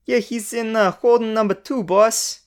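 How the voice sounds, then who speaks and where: A woman's voice: on the high side, fairly even intonation, normal speed, close to an everyday voice. A woman voicing a brash, irritable male city guard officer in a fantasy radio drama, with blunt, slangy talk. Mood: dutiful. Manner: slangy, blunt, deferring to boss.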